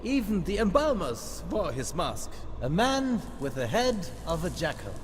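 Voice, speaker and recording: Theatrical German villain doctor, high-pitched. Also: male voice, big pitch swings, measured pace, slight background noise. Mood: smug, mock-polite. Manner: pompous, mock-courteous. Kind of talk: dramatic video game cutscene acting. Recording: loud music behind the voice.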